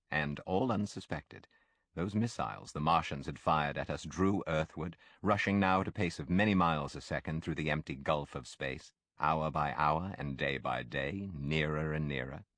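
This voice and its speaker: Very deep-voiced man narrating an audiobook. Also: no room sound. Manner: measured, expressive storytelling delivery. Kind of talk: scripted literary narration of a classic novel.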